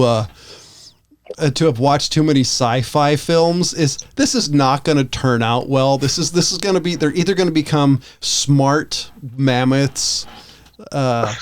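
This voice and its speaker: A man chatting casually as a podcast co-host in a wry, halting way. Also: fairly high pitch, expressive.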